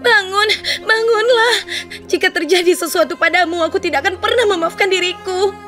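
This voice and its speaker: A woman's voice: extremely high pitch, fairly quick, pushed and bright, faint music behind. A woman voicing a kind, devoted young beauty in a cartoon fairy tale, sweet and earnest. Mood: tearful, pleading.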